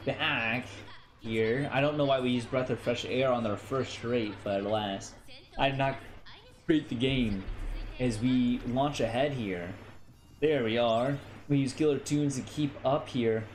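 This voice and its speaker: A man's voice: mid-range voice, measured pace. Chatty young American man livestreaming, noisy background. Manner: chatty, animated, stammers and repeats phrases. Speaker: livestreamer, VTuber. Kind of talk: casual game stream chat with playful race commentary.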